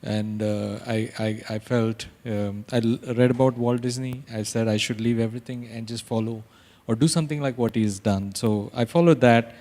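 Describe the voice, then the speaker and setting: A man's voice: medium pitch, steady tone, moderate pace, darkish tone. Male founder from India, hesitant panel talk, echoing hall. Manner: hesitant, many fillers and restarts. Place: conference hall. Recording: reverberant hall.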